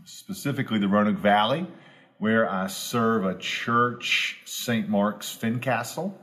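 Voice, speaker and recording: Slow, earnest pastor in his forties. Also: lowish voice, a bit bright. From Southwest Virginia, US. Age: 40s. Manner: earnest, homespun, building to emphatic repetition. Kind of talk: reflective devotional talk.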